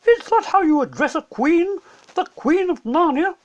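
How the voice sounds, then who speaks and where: Guy doing a storybook witch queen, super high voice, imperious and bossy, pitch jumping all over. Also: animated, normal speed. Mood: imperious anger. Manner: haughty, commanding. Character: imperious, stern witch queen of a fantasy land.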